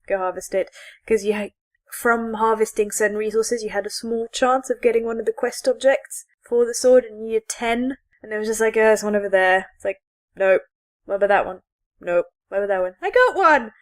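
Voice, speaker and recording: A woman's voice: a little high, a little fast. A woman co-hosting a podcast, chatting casually about geeky things in a rambling, giggly, enthusiastic way. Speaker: podcast co-host. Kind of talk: casual geek chat.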